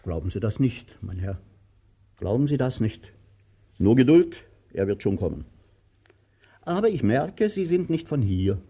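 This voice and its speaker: An actor playing a cruel, pompous master of about sixty in a radio drama, declaiming theatrically and swinging between grandeur and self-pitying whining. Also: male voice, medium pitch, very animated, measured pace, pauses often, dark tone, breathy edge, heavily acted. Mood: grandiloquent. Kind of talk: radio drama stage acting.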